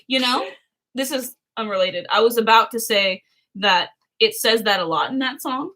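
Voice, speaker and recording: A woman's voice: on the high side, moderate pace, pushed and bright. Giggly young woman chatting on a livestream. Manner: giggly, rambling, reads chat aloud. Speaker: livestream co-host. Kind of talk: casual livestream chat with a sibling.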